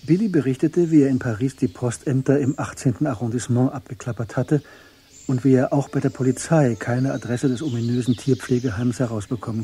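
A man narrates a radio crime drama. His voice is very dark and soft-edged, at an ordinary male pitch, with scripted literary delivery.

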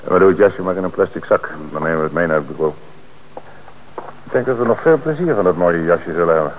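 An actor playing a calm, shrewd police commissioner who speaks in a measured, authoritative way in a radio drama. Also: male voice, deep voice, narrow, tinny audio, a little hiss or hum.

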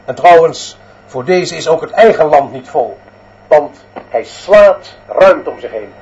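An actor playing a dictatorial headmaster in a radio play, declaiming sternly with deliberate phrasing. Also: male voice, fairly high pitch, expressive, a little mellow, lo-fi sound. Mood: stern, solemn. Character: dictatorial male headmaster preaching discipline and national greatness.

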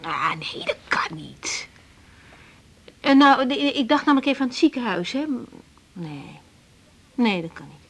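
An actress with a Netherlands Dutch accent playing a tender, shy young doctor, hesitant and soft, in a 1980s radio drama. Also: female voice, fairly high pitch, very animated, unhurried, long pauses, heavily acted. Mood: hesitant, uneasy. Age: young adult. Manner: hesitant, soft, repeating words. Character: tired young woman doctor, tender, slightly shy dinner guest.

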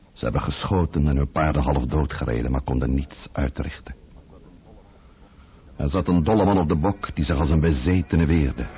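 A man's voice: extremely low pitch, breathy edge, full character voice. A grave, grieving nobleman. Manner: grave, sorrowful, measured. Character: grieving nobleman writing a letter, weary and solemn. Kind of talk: letter read as radio drama monologue. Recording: phone-like old radio with faint music.

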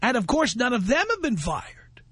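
A man's voice: extremely high pitch, sing-song, normal speed, a bit bright, husky, no echo. Brash, sarcastic man hosting talk radio. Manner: brash, sarcastic, mocking put-on voices. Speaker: radio talk show host. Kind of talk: talk radio banter with callers.

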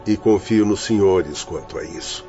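Radio drama authority figure male actor: benevolent stern doctor, dark low voice, formal, slightly dull recording. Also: moderate pace, lightly acted. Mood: firm, restrained. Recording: muffled audio with soft music.

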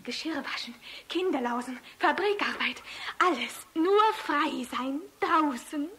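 An actress playing a dreamy, adoring young woman in love in a German radio play, high and breathy, often close to a whisper. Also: unhurried, bright, forceful tone. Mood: dreamy, rapturous. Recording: hissy old radio recording.

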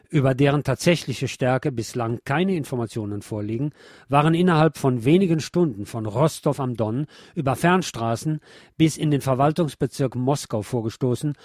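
A man presenting a news programme, reading commentary aloud in a measured, formal way. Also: mid-range voice, a little mellow, dry recording, studio quality.

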